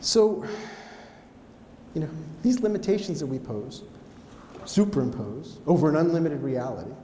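High-pitched American man speaking in an echoey hall. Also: normal speed. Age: middle-aged. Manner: animated, conversational, acts out dialogue. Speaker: keynote speaker. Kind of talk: motivational storytelling talk. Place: on stage in an auditorium. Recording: echoey hall with background noise.

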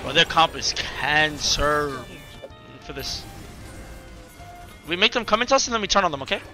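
A young man streaming a game, excitably calling out short, repeated instructions to his teammates. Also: fairly high pitch, moderate pace. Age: young adult. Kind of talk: live gaming commentary and team callouts. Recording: music behind the voice.

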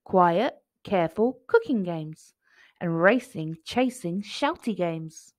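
A woman's voice: lowish voice, expressive, slow pace, no room sound. A woman reading a children's picture book aloud in a storytelling style.

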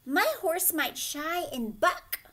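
Young storybook children voiced by a woman exclaim out loud. The pitch is high and the intonation very lively. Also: takes it slowly, exaggerated voice. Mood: fearful. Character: frightened young children refusing to cross.

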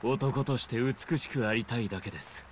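A man's voice: mid-range voice, normal speed, a faint crowd, a little hiss or hum, close to an everyday voice. An actor playing an elegant, vain male fighter and club owner in a video game, speaking with polished, formal theatricality. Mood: calm, gracious. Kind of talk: dramatic video game acting.

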